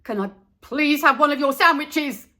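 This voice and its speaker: A woman's voice: sits high, normal speed, pushed and bright, voice pushed up. A woman voicing an eager, impatient young schoolboy who speaks emphatically, as a storybook character. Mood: pleading, impatient. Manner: blurting, emphatic.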